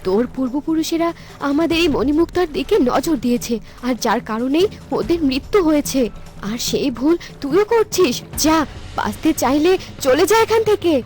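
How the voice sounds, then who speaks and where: Woman as a high-voiced proud water fairy. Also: normal speed. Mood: scornful, warning. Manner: dramatic, expressive. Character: proud, kind-hearted water fairy queen. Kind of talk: cartoon storytelling.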